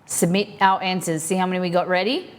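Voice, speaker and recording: A woman's voice: lowish voice, steady tone, fast talker. Chatty woman streamer in her kitchen. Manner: chatty, reads trivia aloud to viewers. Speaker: streamer host. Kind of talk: casual live-stream chat.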